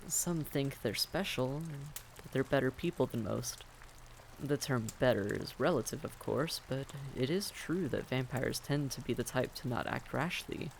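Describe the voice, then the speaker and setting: A man's voice: mid-range voice, normal speed, gravelly, a little hiss or hum, lightly acted. A man playing a friendly, reassuring vampire innkeeper in a fantasy audio roleplay, speaking courteously and conversationally to the listener. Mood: gentle, comforting.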